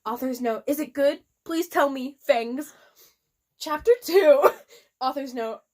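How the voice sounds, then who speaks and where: A woman's voice: high voice, takes it slowly. A woman reading fan fiction aloud in a theatrical, giggly, comedic way. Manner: giggly, theatrical, often breaks into laughter.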